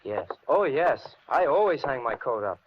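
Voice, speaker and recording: A man's voice: medium pitch, very animated, normal speed, natural voice. An actor playing a meek, uneasy young handyman, hesitant and polite, in 1950s radio suspense. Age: young adult. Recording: dull, noisy 1950s radio recording.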